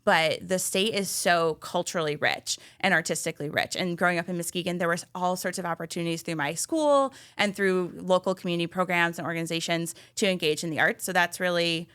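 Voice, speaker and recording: A woman's voice: fairly low pitch, a little fast, few pauses, a bit bright, smooth. A woman in her thirties, a guest on a talk show, chatting casually and laughing easily about her work in the arts. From Western Massachusetts, raised in Michigan. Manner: chatty, laughs often, self-deprecating. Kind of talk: casual interview chat.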